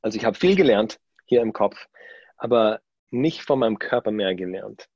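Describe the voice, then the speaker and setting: A man who was born in Germany and grew up in Australia, speaking somewhat halting German with a background, full of fillers and restarts, as a guest in a casual interview. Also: lowish voice, animated, darkish tone, slightly rough, no echo, dull audio. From Germany, raised in Australia.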